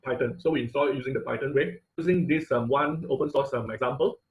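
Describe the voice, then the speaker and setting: Male online instructor, medium pitch, informal technical lecturing, repeats phrases and checks in often. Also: fast talker, poor audio.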